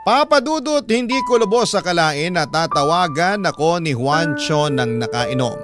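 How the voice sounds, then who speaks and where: Man as narrator, slightly high male voice, Filipino accent, earnest, measured heartbreak narration, loud music bed. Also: lively intonation, close to an everyday voice. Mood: earnest, resolute. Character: woman letter sender recounting her heartbreak, resolute. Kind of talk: scripted first-person drama narration.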